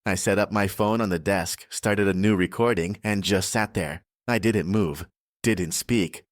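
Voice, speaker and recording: A man narrating a scripted first-person horror audiobook in a measured, deliberate way. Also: low-pitched, dry recording, studio quality.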